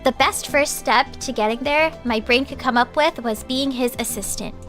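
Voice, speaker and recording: Bright-voiced woman reading a visual novel aloud. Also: high-pitched, normal speed. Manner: reads narration, breaks off into loud excited reactions. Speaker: vtuber streamer. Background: game background music.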